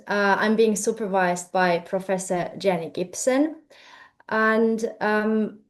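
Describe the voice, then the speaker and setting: A woman's voice: mid-range voice, a little mellow. A young woman from Finland with a Finnish accent, presenting her research in a hesitant, filler-heavy way over a video call. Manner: hesitant, many fillers and restarts. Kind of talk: presentation talk.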